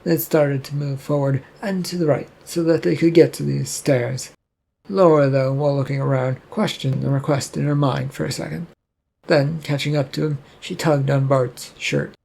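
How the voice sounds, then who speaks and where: A man narrates fiction in a slightly high voice with a husky, gravelly edge. He reads scripted prose as a storyteller, with creak running through his words. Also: steady tone, moderate pace, darkish tone.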